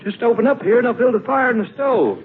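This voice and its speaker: A man's voice: very high voice, expressive, fast, narrow, tinny audio. An actor playing a friendly, folksy small-town railway station man in 1940s radio comedy style. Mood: friendly, curious. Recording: noisy, band-limited 1940s radio broadcast.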